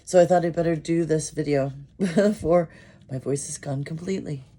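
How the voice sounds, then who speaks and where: A pastor with an American accent, hoarse and losing their voice from a cold, reflecting on scripture in an unhurried, thoughtful way. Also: medium pitch, dark tone. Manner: slow, thoughtful, with fillers. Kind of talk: casual sermon reflection.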